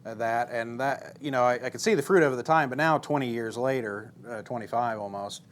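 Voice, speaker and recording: A man in his forties, a guest in an interview, talking in a relaxed, joking way with many fillers. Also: medium pitch, brisk. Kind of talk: casual interview answers.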